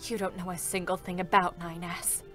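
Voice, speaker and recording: A woman playing a duty-bound, inwardly anguished android soldier in a dramatic reading, restrained and halting. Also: fairly low pitch, flat delivery, close to an everyday voice. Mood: quietly bitter. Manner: restrained, halting, emotionally weighted. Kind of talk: dramatic stage reading of a game story. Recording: prominent music behind the voice.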